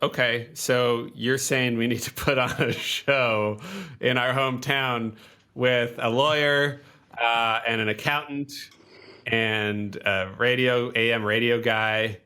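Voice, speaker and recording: A man playing a tabletop game, talking casually and haltingly in character, peppering his questions with "like". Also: mid-range voice, fairly even intonation, bright, forceful tone, clean tone. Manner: halting, frequent "like". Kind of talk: casual improvised in-character chat.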